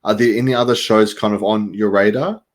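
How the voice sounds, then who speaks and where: A man's voice: fairly low pitch, steady tone, a little fast. A young man with an Australian accent hosting a casual podcast interview, laid-back and full of slang. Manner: laid-back, slangy, says "bro" and "reckon". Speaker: podcast host.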